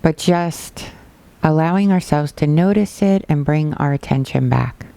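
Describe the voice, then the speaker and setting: A woman teaching a guided body scan meditation, giving gentle, unhurried instructions with pauses. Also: low-pitched, vocal fry, no room sound.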